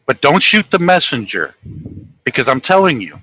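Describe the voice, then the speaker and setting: A man's voice: mid-range voice, very animated, normal speed, sharp tone, husky, poor audio. A man from the United States hosting a livestream, talking earnestly to his viewers as he reads from his own notes. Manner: earnest, lecturing, addresses viewers directly. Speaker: host of a livestream channel. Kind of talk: livestream monologue, reading from notes.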